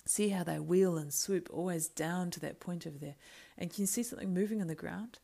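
Storybook hobbit lad played by a woman: frightened grumbler, plaintive and excitable, low register with a creaky edge. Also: brisk. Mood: terrified.

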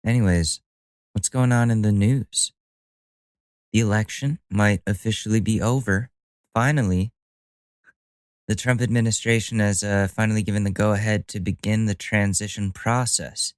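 A man's voice: lowish voice, fairly even intonation, normal speed, smooth, no echo. A young man hosting a solo podcast, talking casually with a stuffy nose, rambling and joking at his own expense with the odd swear word. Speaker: podcast host.

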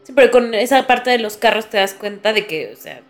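A woman's voice: a little high, animated, fairly quick. A woman chatting casually as a podcast co-host, chiming in with short reactions. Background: music.

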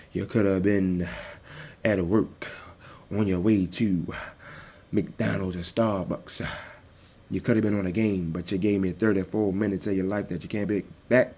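Calm, low-voiced man hosting a podcast. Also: husky. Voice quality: calm, soothing voice. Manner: easygoing, addresses listeners directly. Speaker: podcast host. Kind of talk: casual solo podcast talk. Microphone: desktop USB microphone.

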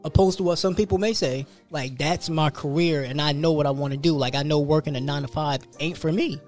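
A man's voice: fairly high pitch, brisk, darkish tone, soft background music. A man in his thirties talking casually and profanely on a podcast, telling stories about work and his goals. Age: 30s. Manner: loose, profane, storytelling. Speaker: podcast host.

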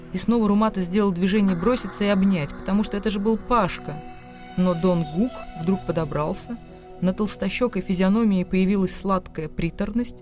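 A man narrating a radio play with expressive, theatrical literary storytelling. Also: sits high, close to an everyday voice.

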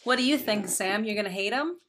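A woman's voice: medium pitch, expressive, brisk, fairly bright tone. A woman guesting on a comedy podcast, teasing the others in a sassy way. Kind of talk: casual comedy podcast banter.

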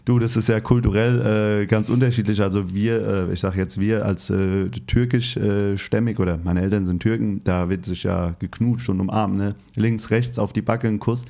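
Low-voiced man with a light Hessian accent. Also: normal speed, gravelly, few pauses. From Hesse, Germany. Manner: friendly, relaxed, talkative with fillers. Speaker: interviewer, host. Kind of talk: casual interview conversation.

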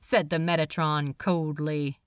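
A woman's voice: low-pitched, flat delivery, a little slow, clean tone, no room sound. A woman reading a story aloud as scripted narration, recorded close. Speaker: amateur audiobook reader.

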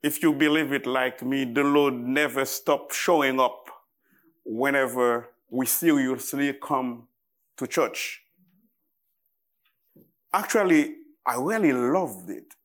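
A man with a Haitian accent preaching as a guest in church, speaking in short, deliberate phrases with halting pauses. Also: medium pitch, a bit bright. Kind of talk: sermon to a church congregation.

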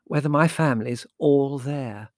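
A man voicing a weary, sensible middle-aged mother with a hesitant, gently exasperated manner. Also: mid-range voice, a little slow, dry recording, studio quality, pitched above the performer's own voice, close to an everyday voice. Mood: long-suffering.

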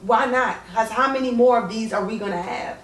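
Twenties woman, chatty and expressive, roomy sound, away from mic. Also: on the high side, a little fast, distant mic. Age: 20s. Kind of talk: casual group chat.

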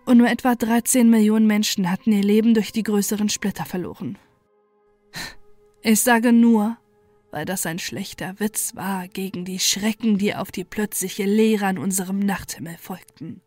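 Female horror narrator, mellow and dark, grave measured storytelling, scripted, light music bed. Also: mid-range voice, no room sound.

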